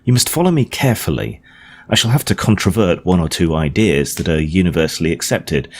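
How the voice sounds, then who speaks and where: A man voicing an earnest Victorian gentleman scientist in an audiobook, lecturing his guests in a precise, emphatic, didactic way. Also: low voice, lively intonation, brisk. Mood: earnest, challenging. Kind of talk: audiobook character dialogue. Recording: clearly noisy home recording.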